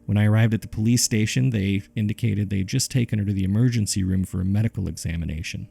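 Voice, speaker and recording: A man with a gravelly, husky voice reading scripted horror case files in a measured, subdued way, as narrator and host. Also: lowish voice, steady tone, dark tone, faint music behind.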